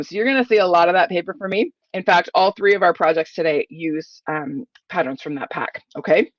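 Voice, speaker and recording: Chatty, slightly croaky woman. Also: on the low side, no echo, highs cut off. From Ontario, Canada. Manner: chatty and enthusiastic, many fillers. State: croaky from a cold. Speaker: papercraft demonstrator. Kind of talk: live crafting tutorial.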